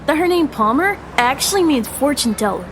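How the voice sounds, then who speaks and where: Woman acting high-voiced anxious schoolboy. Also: expressive, a little fast, noisy background. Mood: gossipy, spooked. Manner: earnest, hesitant. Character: anxious middle school boy confiding in his best friend. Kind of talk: family audio drama acting.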